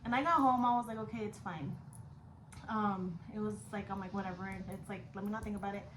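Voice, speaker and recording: A woman's voice: medium pitch, fast. Slangy young woman, echoey room. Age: 20s. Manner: slangy, switches between English and Spanish, swears casually. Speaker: vlogger telling a personal story. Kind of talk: casual chat storytelling. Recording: echoey room with background noise.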